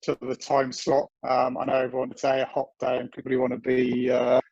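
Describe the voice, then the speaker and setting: A man in his forties presents over a video call in a rambling, self-deprecating way. His voice is gravelly and the dry audio sounds lo-fi. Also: mid-range voice, completely flat delivery, brisk, darkish tone, dry recording. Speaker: guest presenter. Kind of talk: technical presentation.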